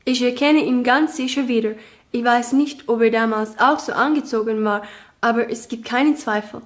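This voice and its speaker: An actress playing a young student witness who testifies with firm certainty and deliberate emphasis in a radio docudrama. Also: female voice, a little high, lightly acted. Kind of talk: radio crime docudrama acting.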